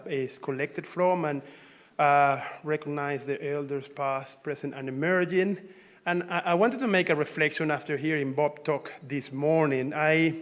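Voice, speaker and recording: A man's voice: on the high side, fairly bright tone. Venezuelan-born man giving a conference talk. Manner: conversational, halting, frequent fillers and restarts. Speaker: researcher presenting at a symposium.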